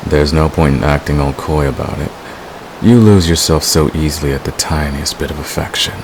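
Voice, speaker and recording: A man playing a smug, seductive fantasy villain who is secretly in love, in an intimate, teasing and unhurried audio roleplay. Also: rumbling low, background noise. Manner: teasing, unhurried, murmuring to a lover. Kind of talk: romantic audio roleplay, intimate ASMR acting.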